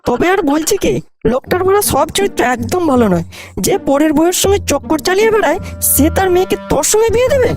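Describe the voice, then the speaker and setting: A woman's voice: very high-pitched, lively intonation, fast, a little mellow. A blunt, gossipy young cartoon guy played by a woman. He rattles off emphatic slang, with music underneath. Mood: warning. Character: blunt, gossipy young male village friend, warning and scornful. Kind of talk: comic cartoon dialogue.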